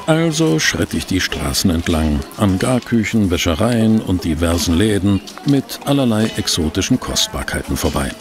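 A loyal detective's sidekick in a radio mystery. The voice is lowish and gravelly with lively inflection, courteous and measured. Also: male voice. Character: loyal, polite male detective's assistant and narrator. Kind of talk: German audio drama acting and narration.

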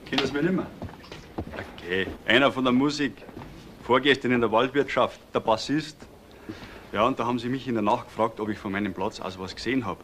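A man playing a talkative bassist and reluctant witness in a crime radio drama, colloquial. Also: brisk, a bit bright, a little hiss or hum, close to an everyday voice. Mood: eager. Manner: colloquial, chatty, circumspect.

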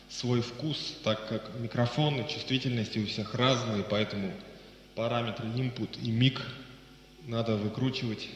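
A man narrates a step-by-step software tutorial as its presenter. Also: medium pitch, highs cut off, slight background noise. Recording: very echoey reverberant voice-over, music behind.

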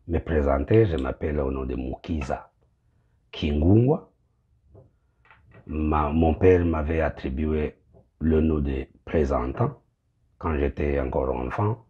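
Pastor, middle-aged man, very low and slow, muffled phone sound; gropes for words, French speaker. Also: mellow, breathy edge. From Congo. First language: Kinyamulenge. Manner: halting, searching for words. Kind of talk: direct-to-camera address. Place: small indoor room.

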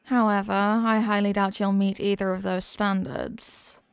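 A woman's voice: medium pitch, little pitch movement, clean tone, no echo, clean studio sound, natural voice. A woman voicing a condescending, sadistic and possessive demon queen who mocks the human listener in a scripted ASMR roleplay. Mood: cold, disdainful. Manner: condescending, mocking, deliberate and teasing.

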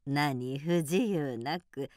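Slow, kindly old granny field mouse. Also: female voice, deep voice, a little mellow, no room sound, studio quality. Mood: chatty, admiring. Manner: old-fashioned grandmotherly phrasing. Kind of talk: radio drama acting.

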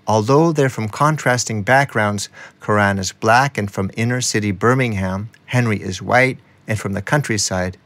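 A man's voice: mid-range voice, a bit bright, dry recording. A middle-aged man working as a radio documentary maker, both interviewing and narrating.

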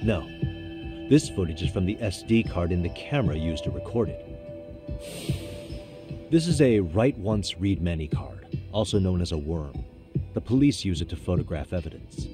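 A man's voice: sits low, animated, music in the background. An actor playing a composed, persistent defense lawyer in his thirties, speaking formally in a courtroom drama game. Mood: serious, insistent. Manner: measured, formal, insistent.

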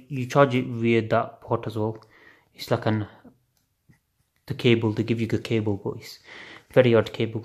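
Guy unboxing stuff on his phone at home late at night, flat offhand delivery, swears a bit. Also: lowish voice. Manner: offhand, swears casually, thinks aloud. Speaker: unboxing video host. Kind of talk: casual unboxing chat. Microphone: phone camera filming hands on a table.

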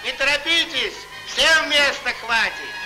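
Plucky, high-pitched cartoon soldier. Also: male voice, expressive, a little slow, rough recording. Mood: bold, commanding. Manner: hearty, wily, folksy exclamations. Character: plucky, cunning soldier of a fairy tale. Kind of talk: 1940s Soviet cartoon acting. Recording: old 1940s film soundtrack, music behind.

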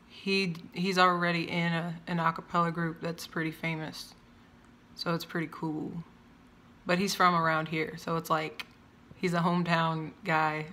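Loud, chatty young woman ranting on a phone camera. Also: fairly low pitch, slight background noise. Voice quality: loud, projecting voice. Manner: chatty, wandering, self-interrupting. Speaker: vlogger. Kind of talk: casual rambling rant. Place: indoors by large windows, home room. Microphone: phone camera at arm's length.

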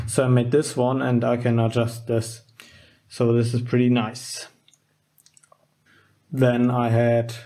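A man giving a casual, unscripted electronics demonstration, halting and informal as he thinks aloud. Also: mid-range voice, mellow. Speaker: host of a DIY electronics channel. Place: indoors at a wooden workbench.

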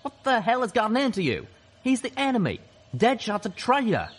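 A man voicing an angry, combative military pegasus stallion, shouting desperately in a dramatic story reading. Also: very high-pitched, wildly swooping pitch, fairly quick, breathy edge, voice pushed up, full character voice. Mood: frantic, furious.

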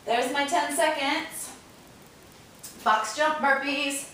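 A young adult woman with a croaky voice from a cold, chatting casually and coaching a workout in a garage. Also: high voice, slow, pushed and bright, a little hiss or hum. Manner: chatty, upbeat, counts reps while exercising. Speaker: fitness vlogger. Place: large garage with metal door. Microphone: far camera. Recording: echoey garage from far off.

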